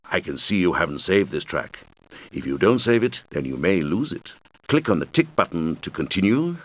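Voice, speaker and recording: A low-voiced male game guide, with very lively, swooping intonation. He explains things helpfully and simply, as if to children, in scripted tutorial style. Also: heavily acted. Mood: encouraging. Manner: instructional, clearly addressed to children.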